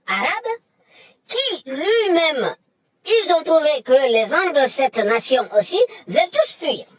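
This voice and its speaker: A woman's voice: high voice, dry recording. A woman delivering an emphatic, lecturing monologue that repeats its points. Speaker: commentator. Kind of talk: impassioned monologue.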